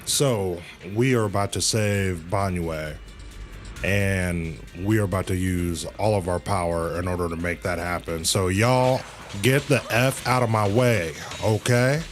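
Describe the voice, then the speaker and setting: A man's voice: deep voice, measured pace, faint music behind. A man chatting casually as he hosts a video game livestream. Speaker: livestream host.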